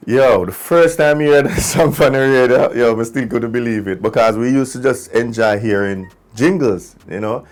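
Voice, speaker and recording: A Jamaican man, a musician, telling a story casually in an animated way, with patois phrasing. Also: mid-range voice, normal speed, hardly any pauses, faint music behind. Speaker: musician, singer in a group.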